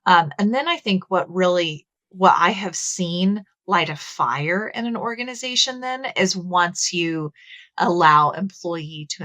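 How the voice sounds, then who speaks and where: A woman's voice: on the low side, fairly bright tone, no room sound. A woman in her thirties with a Texas accent, a podcast guest explaining her views thoughtfully with some filler words. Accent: Texan. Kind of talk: conversational interview.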